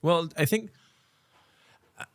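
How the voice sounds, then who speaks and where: A man's voice: on the high side, slow, creaky, dry recording, clean studio sound. A man from Uruguay hosting a podcast, talking in a reflective, rambling way and swearing casually. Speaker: podcast host.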